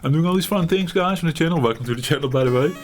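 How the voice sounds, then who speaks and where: A middle-aged man hosting his retro gaming channel, talking while he plays in a halting, reflective way, searching for words and laughing at himself. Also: on the high side, over music. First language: Dutch. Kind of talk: reflective gameplay commentary.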